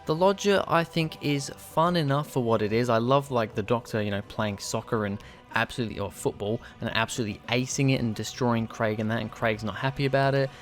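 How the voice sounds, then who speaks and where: A man's voice: mid-range voice, a little fast. Chatty young man from Yorkshire, faint music. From West Yorkshire, England. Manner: chatty, lots of ums and restarts. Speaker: video host ranking episodes. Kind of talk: casual review monologue.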